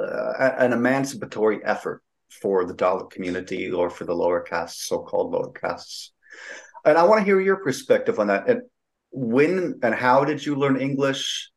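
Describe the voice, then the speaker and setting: A man hosting an interview, asking long, enthusiastic questions full of fillers and restarts. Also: medium pitch. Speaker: podcast host.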